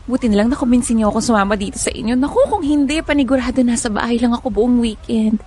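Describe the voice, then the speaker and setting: A woman's voice: on the high side, fast, mellow, slightly rough. An actress playing a kind, easygoing young woman being courted in a radio drama, speaking coyly. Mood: relaxed.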